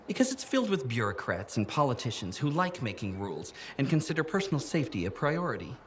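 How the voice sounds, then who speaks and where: An actor playing a fussy, earnest safety officer in a fort. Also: male voice, mid-range voice, big pitch swings, fast talker, slight background noise, lightly acted.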